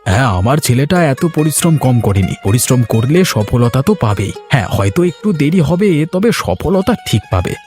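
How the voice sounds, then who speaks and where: A man voicing an excitable, proud middle-aged village father in a moral-story cartoon, with a folksy, exclamatory manner. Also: medium pitch, moderate pace, few pauses, soft background music, natural voice. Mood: friendly, earnest.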